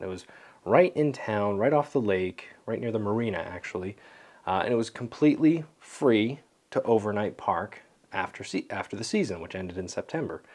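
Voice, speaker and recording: Low-voiced young man, casual. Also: darkish tone. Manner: self-deprecating, rambling. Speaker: vlogger, landscape photographer. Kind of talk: casual vlog talk to camera. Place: small home studio room.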